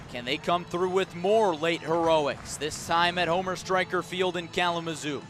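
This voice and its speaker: An American man calling live baseball on the radio as play-by-play announcer, narrating dramatically in short, clipped phrases. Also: a little high. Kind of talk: live radio sports commentary.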